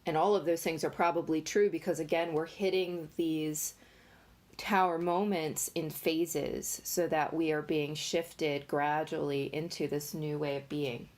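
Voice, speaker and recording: A woman giving a casual tarot reading, talking in a nasal, congested voice with many pauses and fillers. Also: low-pitched, fairly even intonation, darkish tone. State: congested from allergies. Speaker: tarot reader.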